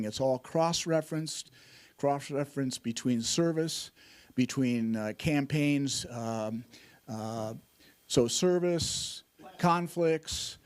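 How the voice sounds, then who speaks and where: Man giving a halting museum update. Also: mid-range voice, darkish tone. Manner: halting, many uhs, enthusiastic. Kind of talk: short announcement.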